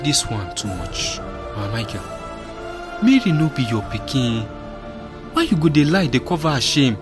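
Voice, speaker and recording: Man acting older Nigerian village man, scornful, stubborn, emphatic, highly animated intonation, radio drama, music underneath. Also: normal speed, close to an everyday voice. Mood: incredulous scorn. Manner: emphatic, scoffing, with repeated exclamations.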